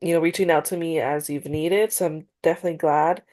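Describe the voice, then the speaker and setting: A woman's voice: sits low, steady tone, fast, darkish tone. A woman playing a counselor in a practice session over a video call, congested and a little nasal, hesitant with many fillers and restarts. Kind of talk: role-played therapy session.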